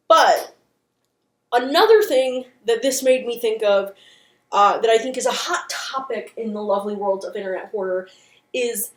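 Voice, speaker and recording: Echoey, high-voiced woman chatting. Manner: chatty, opinionated, playfully dramatic. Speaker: host of a channel on internet horror. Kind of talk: casual video commentary.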